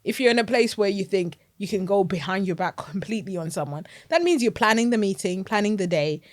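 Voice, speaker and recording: A woman with a Zimbabwean accent co-hosting a podcast, chatting casually, emphatic and quick to laugh. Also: medium pitch. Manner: animated, emphatic, laughs often. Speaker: podcast co-host. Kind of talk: casual chat.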